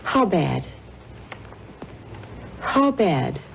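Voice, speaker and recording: An actress playing an anxious, disbelieving mother of a teenage boy in a 1950s radio drama, speaking haltingly. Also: lowish voice, big pitch swings, vocal fry, exaggerated voice. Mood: stunned. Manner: halting, repeating herself in disbelief. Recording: muffled vintage radio recording, faint noise.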